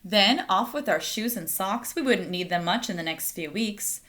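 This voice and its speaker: A woman's voice: mid-range voice, animated, bright, forceful tone. A woman reading a children's picture book aloud as a storyteller, addressing young listeners directly. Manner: pauses to ask listeners questions.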